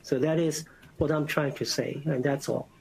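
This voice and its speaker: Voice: medium pitch, steady tone, normal speed, creaky, darkish tone, slight background noise, low-quality recording. A board member with a Korean accent, a native Korean speaker, arguing earnestly over a video call and often saying "you know". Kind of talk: formal remote meeting discussion.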